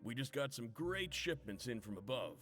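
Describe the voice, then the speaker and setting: An actor playing an upbeat, polite cook who serves a prison cafeteria. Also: male voice, mid-range voice, big pitch swings, moderate pace, loud music behind the voice, close to an everyday voice. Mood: cheerful. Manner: polite and upbeat, serving staff.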